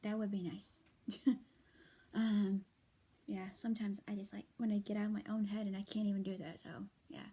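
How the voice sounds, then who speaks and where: A tired young woman venting late at night to her viewers, soft and halting, often half-whispered, with a slightly hoarse voice and many fillers. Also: mid-range voice, flat delivery. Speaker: vlogger.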